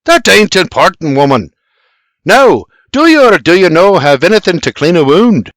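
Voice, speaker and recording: Man playing frontier homesteader, blustering, exclaiming, animated pitch, audiobook character. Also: measured pace, creaky, dry recording, studio quality, heavily acted. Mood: frantic.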